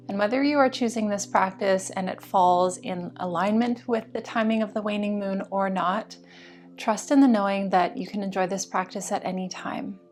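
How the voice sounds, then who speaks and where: A woman yoga teacher guiding a meditation practice in a soothing, slow and deliberate way. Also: mid-range voice, darkish tone. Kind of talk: guided meditation instruction. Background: music.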